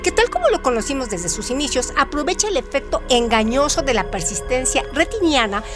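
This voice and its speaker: High-voiced woman presenting literary trivia. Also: sing-song, fairly quick, over music. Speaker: co-host of a literary radio show. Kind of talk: scripted narration of literary trivia and analysis.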